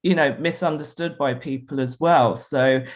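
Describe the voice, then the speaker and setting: A man's voice: mid-range voice, steady tone, moderate pace. A man speaking as a panelist on a video call, chatty and laughing easily, with lots of fillers. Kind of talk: casual panel discussion.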